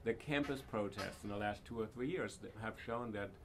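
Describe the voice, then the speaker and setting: A man's voice: on the low side, a little fast. A man hosting a podcast interview, asking probing questions and thinking aloud. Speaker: podcast host. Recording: faint background noise.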